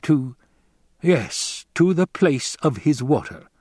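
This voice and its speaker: A man's voice: medium pitch, big pitch swings, measured pace, darkish tone, breathy edge, no room sound, pitched above the performer's own voice. Man playing a weary, bitter old soldier, dry sound. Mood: hesitant, resolved. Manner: bitter, hesitant, terse.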